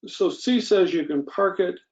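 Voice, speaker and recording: Male commissioner, gravelly fry in a fairly high mellow voice, methodical questioner. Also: measured pace, dull audio. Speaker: planning commission member. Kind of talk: informal meeting discussion.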